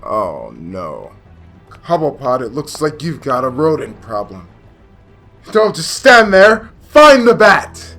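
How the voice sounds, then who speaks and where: A man acts out a muscular masked crime boss in a radio drama. He has a mid-pitched voice and a smug, mock-polite, taunting menace, with music running underneath. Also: huge pitch swings, slow, fairly bright tone, full character voice. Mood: alarmed, angry. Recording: music behind.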